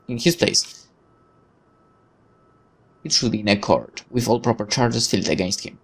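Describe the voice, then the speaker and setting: A man reading a visual novel's narration aloud in a wry, measured storytelling way. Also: medium pitch, gravelly. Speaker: gaming video narrator.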